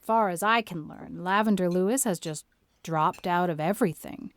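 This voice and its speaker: A woman playing a stern, no-nonsense older country guardian in an audio drama, brisk, opinionated and plain-spoken. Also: lowish voice, natural voice. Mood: reflective, matter-of-fact.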